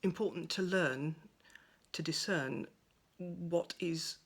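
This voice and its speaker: Soft-spoken woman, near-whispering at times. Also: on the low side, slow, slightly rough. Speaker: pagan speaker sharing reflections. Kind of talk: gentle reflective monologue. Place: at home by a window over a garden.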